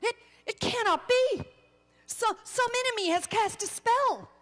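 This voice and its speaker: A woman's voice: very high-pitched, unhurried, a bit bright, long reverb tail, faint music behind, voice pushed up. A woman playing a proud, commanding male warrior king in a storybook, emphatic and defensive, stammering when challenged. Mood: indignant, fierce.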